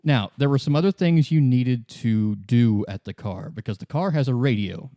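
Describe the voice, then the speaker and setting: A man acting as game master, narrating and explaining a story casually in halting, improvised phrases. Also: medium pitch, expressive, dark tone, no room sound, studio quality. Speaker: game master, podcast co-host.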